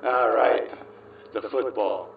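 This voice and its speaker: A man's voice: on the low side, steady tone, slow pace, narrow, tinny audio. A man playing a cocky, flirtatious American spy ghost in a radio play, with an American accent and a teasing drawl. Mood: smitten. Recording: echoey, distant, slightly noisy recording.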